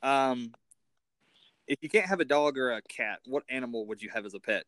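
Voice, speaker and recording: A man's voice: medium pitch, lively intonation, normal speed, fairly bright tone, no room sound, low-quality recording. A man hosting a casual interview, informal and a little self-deprecating in the way he talks. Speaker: interview host.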